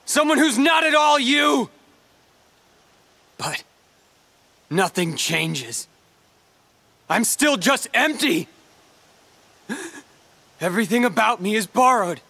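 A man's voice: very high voice, big pitch swings, bright, forceful tone, full character voice. Brooding teenage boy game hero. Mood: anguished, furious. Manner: earnest, brooding, deliberate. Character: brooding, proud teenage boy hero and his tormented replica. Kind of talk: dramatic video game cutscene acting.

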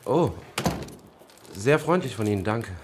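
An actor playing a weary young wanderer in a radio play, polite and hesitant. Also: male voice, on the low side, lively intonation, unhurried, a little hiss or hum.

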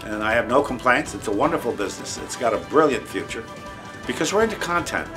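A man's voice: medium pitch, animated, fast talker, a bit bright, faint music behind. A man in his sixties speaking emphatically in a documentary interview, with punchy repetition. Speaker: radio industry interviewee. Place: indoor office.

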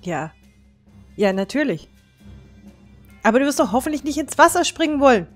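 A woman's voice: sits high, sing-song, normal speed, soft background music. A woman playing a small teenage girl adventurer in a fantasy audio drama, anxious and urgent for her friend. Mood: worried, pleading. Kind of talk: fan-made fantasy audio drama acting.

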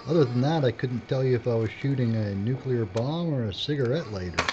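A man's voice: mid-range voice, fairly quick, lightly acted. An actor playing a wry, unflappable cameraman in an audio drama. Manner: wry, laid-back. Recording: dull, noisy audio drama recording.

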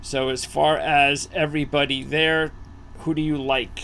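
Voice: medium pitch, little pitch movement, measured pace, fairly bright tone, a little hiss or hum. A host leading a casual racing betting preview over a video call, thinking aloud with fillers while scanning a list.

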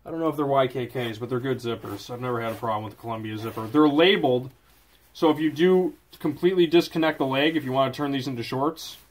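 A man's voice: medium pitch, fast talker. Chatty man reviewing hiking pants. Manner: chatty, off-the-cuff, with self-corrections. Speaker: product reviewer. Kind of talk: casual product review. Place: indoors at a desk.

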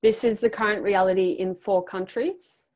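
Young woman on a video call, normal pitch, coaching people thru a practice read, really encouraging. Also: steady tone, normal speed, darkish tone. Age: young adult. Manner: encouraging, affirming. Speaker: facilitator leading a group practice exercise. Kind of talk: coaching a group on a video call, reading a prepared pitch aloud. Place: small indoor room, on a group video call. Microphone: computer microphone on a video call.

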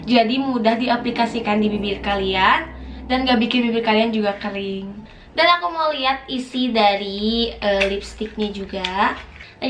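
A hoarse young woman in her twenties talks through a makeup review in a chatty, gushing way, her voice sitting a little high. She records to camera in a small room that sounds echoey, with a faint hiss underneath. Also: a bit bright. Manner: chatty, gushing, full of fillers. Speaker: beauty vlogger. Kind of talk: casual product review. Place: small indoor room, plain wall.

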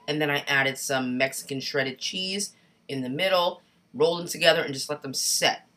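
A woman narrating a cooking video in a voice-over, chatty and relaxed, giving casual step-by-step instructions. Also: low voice. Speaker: cooking channel host. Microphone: home voice-over mic.

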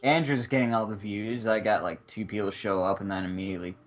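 Low-voiced laid-back young man. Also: steady tone, fast. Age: 20s. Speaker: streamer. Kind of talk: casual gaming chat.

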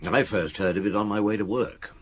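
A very low British male voice , an actor's, as a weighty, calm chief inspector in a radio play. He is deliberate and courteous as he narrates and questions, over faint ambience. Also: slight background noise, close to an everyday voice. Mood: reflective. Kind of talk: radio drama acting, narration and dialogue.

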